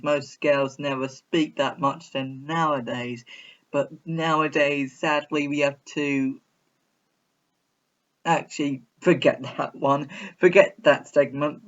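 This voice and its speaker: A man's voice: a little high, a bit bright, lo-fi sound. A man giving casual, rambling video commentary in a chatty, repetitive, mock-baffled way. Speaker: reaction video host.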